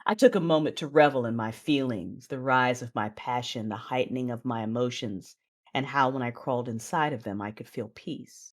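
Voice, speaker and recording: A woman playing a passionate, fierce but wounded Sith warrior, narrating her own story in an intimate, breathy audio-drama style. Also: very deep voice, moderate pace. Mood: tender, passionate. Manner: intimate, breathy, intense delivery.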